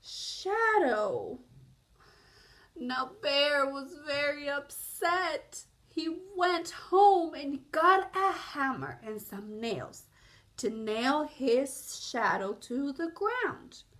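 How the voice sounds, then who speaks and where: Female children's story reader, high and very animated, explanatory, unhurried. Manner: explanatory, asks listeners questions. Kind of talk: read-aloud storytelling for young children.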